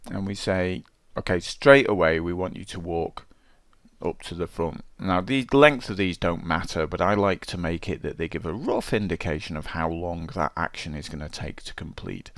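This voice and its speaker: A man presenting a software tutorial as a casual screencast walkthrough, thinking aloud as he works. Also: sits low, no echo. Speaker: game development tutorial presenter.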